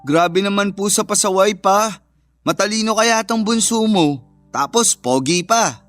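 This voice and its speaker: A cheeky kid brother speaks in a high-pitched voice. He is teasing, in radio drama style, over faint music. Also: measured pace, a bit bright, no room sound. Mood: cheeky, boastful. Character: cheeky, teasing youngest son. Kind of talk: Filipino family radio drama acting. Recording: faint music bed under voice.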